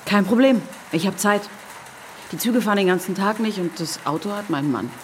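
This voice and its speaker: A woman's voice: medium pitch, animated, normal speed, a little hiss or hum, natural voice. An actress playing a curious, self-assured woman in a radio drama, probing and dryly playful. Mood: curious, concerned. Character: curious, teasing, self-assured married woman, Fräulein Schlüter. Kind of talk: naturalistic radio drama acting.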